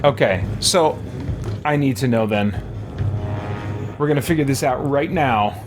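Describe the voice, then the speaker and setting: A man's voice: medium pitch, a little slow, over music. A man giving casual gameplay commentary, thinking aloud in a rambling, musing way. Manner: rambling, musing, trails off into side thoughts. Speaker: gaming streamer, let's player.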